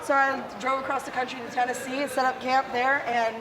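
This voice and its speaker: A woman from South Dakota with a gravelly, raspy voice, chatting casually and laughing now and then as an interview guest. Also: sits high, little pitch movement, fairly quick, background noise. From South Dakota, USA. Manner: chatty and self-deprecating, laughs often. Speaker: musician guest. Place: outdoors at a windy motorcycle expo.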